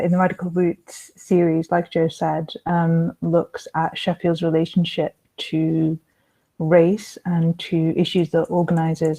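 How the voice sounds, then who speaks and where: Woman panel host, low flat mellow voice, relaxed moderating, video call. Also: creaky, poor audio. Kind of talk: hosting a live-stream panel.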